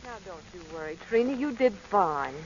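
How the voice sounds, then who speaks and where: A woman playing a gentle, anxious wife and mother in a 1940s radio drama. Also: mid-range voice, animated, moderate pace, husky. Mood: reassuring. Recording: dull vintage radio recording with music.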